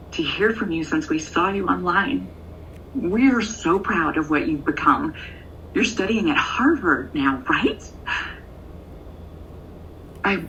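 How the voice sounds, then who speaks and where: Slow, muffled motherly phone caller. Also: lowish voice, bright, forceful tone, heavily acted. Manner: hesitant, motherly. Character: tender, eager, unpretentious middle-aged birth mother on a phone call. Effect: phone line. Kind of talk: naturalistic audio drama acting. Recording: distant muffled phone, faint noise.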